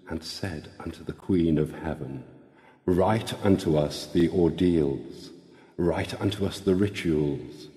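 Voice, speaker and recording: A man solemnly reciting a sacred text in a grave, measured, incantatory manner, with long reverb. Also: low voice, mellow.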